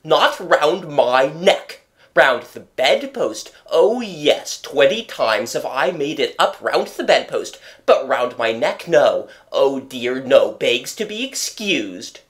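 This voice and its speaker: A reader voicing a blustering, pompous father in a storybook, loud and sarcastic. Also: medium pitch, sing-song, slow. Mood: sarcastic rage.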